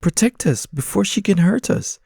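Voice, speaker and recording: Shrill nagging pigeons, wheedling and sinister, put on by a male storyteller, gravelly edge. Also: expressive, moderate pace, warm tone, no echo, studio quality, exaggerated voice. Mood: coaxing.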